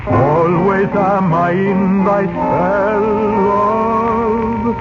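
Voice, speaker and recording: A man's voice: high voice, fairly even intonation, extremely slow. A man performing an ardent serenader, singing a tender, poetic love song in a 1940s radio drama. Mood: amorous. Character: ardent romantic serenader singing to his beloved. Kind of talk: slow crooned love song. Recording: dull 1940s radio, loud music.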